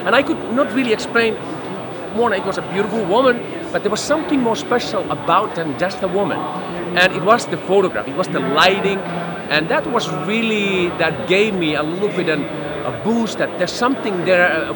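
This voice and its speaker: A man's voice: sits high, expressive, fairly quick. A middle-aged man from Finland, a photographer telling stories in an interview on a busy trade show floor. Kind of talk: casual interview storytelling. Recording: loud crowd noise behind.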